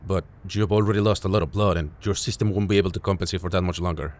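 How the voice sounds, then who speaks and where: A man playing a calm, caring field medic who reassures a patient in an intimate audio roleplay. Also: low voice, fast talker, natural voice. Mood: caring, reassuring. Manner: gentle, reassuring, matter-of-fact, addressing a patient directly.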